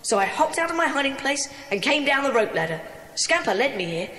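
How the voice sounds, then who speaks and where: Woman as an eager high-voiced schoolboy, echoing. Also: sing-song, brisk, sharp tone, full character voice. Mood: eager, explaining. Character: eager, plucky, loyal schoolboy. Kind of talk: dramatised children's audiobook acting. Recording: long echoing reverb.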